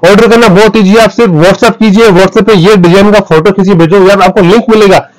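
A man in his thirties with a high-pitched voice. He delivers an emphatic sales pitch to camera as a wholesale seller, boasting about his goods as he goes. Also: fairly even intonation, brisk, rough recording. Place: small clothing wholesale shop, indoors. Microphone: clip-on lapel mic.

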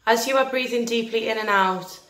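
A woman leading a guided meditation in a gentle, soothing, deliberate manner, leaving long pauses between phrases. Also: on the high side. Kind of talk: guided meditation, scripted narration. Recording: roomy echo with faint music behind.

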